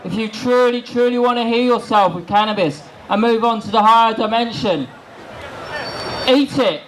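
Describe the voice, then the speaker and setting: A man's voice: very high voice, moderate pace, low-quality recording. A man giving an impassioned, declamatory activist speech outdoors, stressing his phrases emphatically. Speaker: activist speaker at a rally. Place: large open-air city square rally. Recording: outdoor public address with background noise.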